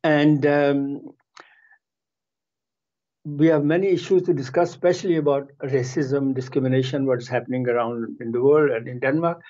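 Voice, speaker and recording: Halting man hosting an interview, mellow voice. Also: mid-range voice, fairly even intonation, highs cut off. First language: Urdu. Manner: halting, many fillers, chatty interjections. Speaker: interview host. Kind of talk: radio and TV interview.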